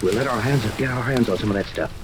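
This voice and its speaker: A confident, take-charge captain, acted by a man in a vintage radio adventure. He talks urgently and very quickly, with clear noise from the old recording. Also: medium pitch, close to an everyday voice. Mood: urgent, hushed scheming. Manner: urgent, commanding. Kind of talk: 1930s radio serial acting.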